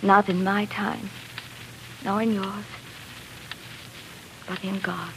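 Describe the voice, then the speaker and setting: A woman's voice: mid-range voice, flat delivery, slow pace, very noisy. An actress playing a devoted, wistful young woman in love, speaking earnestly in 1940s radio drama style. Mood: devoted, resigned. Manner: earnest, romantic delivery.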